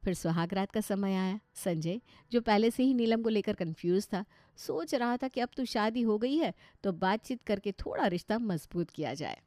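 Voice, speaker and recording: A woman with an Indian accent narrates a story. She reads scripted storybook prose at an average pitch. The recording is dry, with no room sound. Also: expressive, normal speed, mellow, no room sound.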